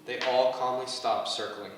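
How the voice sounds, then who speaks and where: A man's voice: medium pitch, fairly even intonation, normal speed, a bit bright. A man reading stage directions as the scripted narrator of a staged play reading. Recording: echoey hall, distant mic, background noise.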